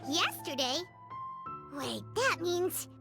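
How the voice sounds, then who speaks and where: Tiny, squeaky, childlike fairy companion. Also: female voice, very animated, slow, creaky, music in the background, exaggerated voice. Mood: surprised. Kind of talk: video game voice acting.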